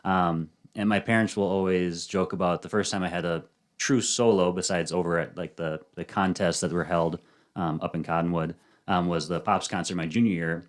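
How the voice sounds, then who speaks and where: Low-voiced guy on a podcast, soft-spoken, lots of ums, thirties. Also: steady tone, moderate pace. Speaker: podcast guest. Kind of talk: casual interview chat.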